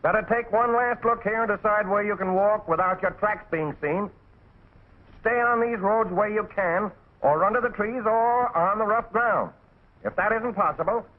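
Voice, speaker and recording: An American actor playing a small, brisk, cheerful animal supervisor in a 1940s military training cartoon, calling out folksy instructions. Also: male voice, sits high, husky. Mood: instructive. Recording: tinny 1940s film soundtrack with music.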